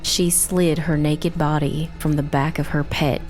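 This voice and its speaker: A woman playing a young girl survivor who narrates a dark fantasy tale in the first person, measured and grave. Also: low-pitched, little pitch movement, soft background music. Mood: somber.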